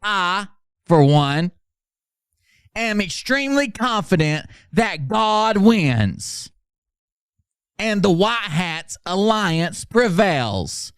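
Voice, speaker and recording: A man playing a melodramatic, self-important political doomsayer, reading posts in a grandiose, over-dramatic way with emphatic pauses. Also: high voice, very animated, fairly bright tone, clear, resonant tone, dry recording, clean studio sound, full character voice. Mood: solemn, grandiose.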